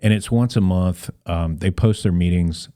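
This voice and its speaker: A man's voice: deep voice, normal speed, dark tone, clean tone. A man talking as a podcast guest, explaining things in an earnest, conversational way. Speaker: podcast guest, civic club member. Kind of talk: conversational interview.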